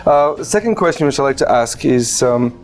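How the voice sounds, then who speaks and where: A man hosting an interview, asking questions in a halting way with frequent restarts. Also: mid-range voice, animated. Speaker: talk show host.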